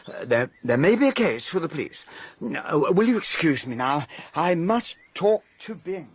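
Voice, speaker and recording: An actor playing an urbane gentleman in a 1920s-set radio drama, clipped and authoritative as he reasons aloud. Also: a little high, sing-song, moderate pace, slight background noise. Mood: reasoning. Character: urbane English gentleman, host and narrator.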